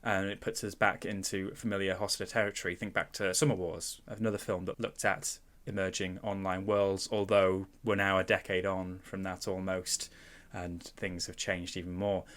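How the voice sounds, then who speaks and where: A man with a Mancunian accent leads a casual film podcast, his voice sitting low. He sounds relaxed and keen, laughing easily as he talks into a headset. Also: normal speed, hardly any pauses. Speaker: podcast host.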